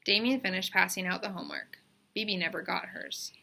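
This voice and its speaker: A woman's voice: lowish voice, brisk, creaky, pushed and bright. A woman reading a storybook aloud, narrating expressively for children. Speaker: storybook reader.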